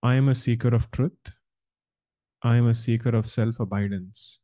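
A man leading a guided meditation as a spiritual teacher, speaking in calm, measured, deliberate short phrases. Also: mid-range voice. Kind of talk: slow guided meditation instruction.

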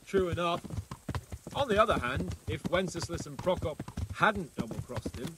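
Man playing a cocky young noble, video game, breezy, wry, talkative, slightly high voice, clattering hooves. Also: animated, moderate pace, background noise, natural voice. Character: cocky witty young nobleman, cheerful gossip. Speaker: game character. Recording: game audio over horse hooves. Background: horse hooves clip-clopping.

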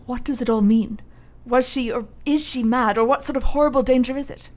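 A woman's voice: a little high, steady tone, brisk, darkish tone, close to an everyday voice. A woman playing a concerned, attentive doctor in a radio play.

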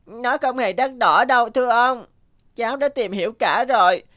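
A woman's voice: a little high, moderate pace, a bit bright, natural voice. A woman voicing a polite, eager, determined young street boy in a cartoon. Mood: earnest pleading. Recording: music behind the voice.

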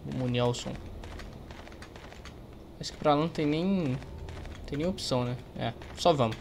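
A man's voice: medium pitch, a little slow, vocal fry, a little mellow, slight background noise. A young man with a Brazilian accent rambles casually through gameplay commentary. He is slangy and joking, close on the mic, with faint game ambience and typing behind him. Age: young adult. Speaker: gaming streamer. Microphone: close desk microphone. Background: game ambience, keyboard typing.

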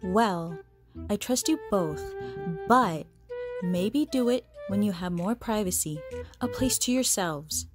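A woman's voice: mid-range voice, expressive, takes it slowly, music in the background. A woman playing a frank, teasing, matter-of-fact mother in a comic dub.

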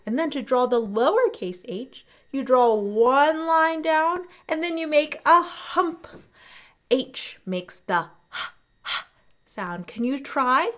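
High-pitched, slow-talking young woman, children's host. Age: young adult. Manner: animated, exaggerated enthusiasm for small children. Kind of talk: children's educational presentation.

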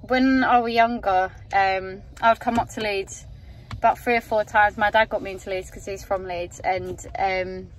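A woman's voice: medium pitch, steady tone, normal speed, a little hiss or hum, low-quality recording. Teary young woman in a car. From England, outside Yorkshire. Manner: emotional, gushing, voice wavering with tears. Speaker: football fan. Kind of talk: emotional vlog to camera. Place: inside a parked car at night. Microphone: phone camera held at arm's length.

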